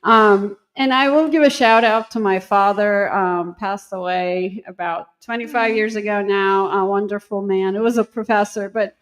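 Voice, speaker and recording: A woman's voice: medium pitch, steady tone, normal speed, few pauses. A middle-aged woman with a Turkish accent, a university dean talking casually in an interview, thoughtful and hesitant with many ums and restarts. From Turkey.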